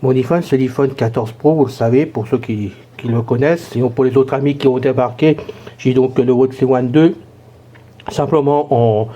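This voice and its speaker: A hoarse man casually explaining a gadget in a chatty, rambling tutorial. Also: mid-range voice, mellow, muffled recording. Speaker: tech tutorial presenter.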